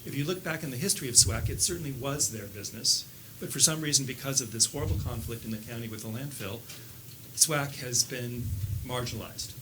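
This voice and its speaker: A man's voice: mid-range voice, a little fast. A man giving pointed, critical public comment at a county meeting. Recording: noisy public meeting recording.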